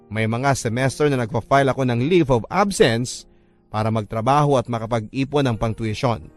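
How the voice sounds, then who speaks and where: A Filipino man narrates a sentimental story in a classic radio storytelling style. His voice sits at a medium pitch, with music playing underneath. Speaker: narrator reading a letter sender's love story.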